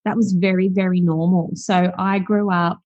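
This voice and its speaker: A woman's voice: fairly low pitch, level tone, moderate pace, a little mellow, no room sound. Reflective Australian woman, dull dry sound. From South Africa. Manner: reflective, with frequent fillers. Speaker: guest. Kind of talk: podcast interview.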